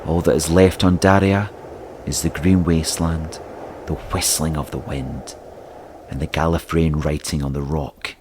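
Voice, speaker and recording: A man's voice: very deep voice, steady tone, unhurried, background noise. A man narrating an audio drama, reading out scene directions in a scripted style. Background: music.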